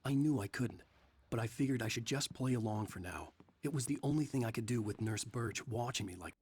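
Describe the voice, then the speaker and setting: An actor playing a troubled writer, narrating a thriller game in a measured, serious first-person voice. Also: male voice, mid-range voice, close to an everyday voice. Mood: tense, brooding. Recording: game audio with loud background noise.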